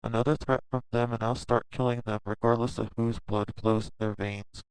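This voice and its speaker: Brief: a man playing a cold, obedient male spirit for an audio drama; unhurried, smooth, quietly deadly. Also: medium pitch, no room sound, natural voice, low-quality recording. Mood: menacing. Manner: calm, slow and coldly threatening. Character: eerie male spirit who serves a girl, menacing.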